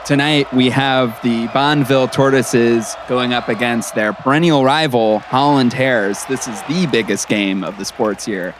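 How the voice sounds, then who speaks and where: A man's voice: mid-range voice, a little slow, few pauses, close to an everyday voice. A man playing an upbeat small-town radio basketball host, delivering hearty, earnest play-by-play patter. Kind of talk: sports radio broadcast commentary. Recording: noisy gym crowd ambience behind.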